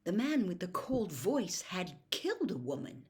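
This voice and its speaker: Female audiobook storyteller: unhurried, theatrical, highly varied intonation, medium pitch. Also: big pitch swings, a little mellow. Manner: theatrical, deliberate. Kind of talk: dramatic audiobook narration.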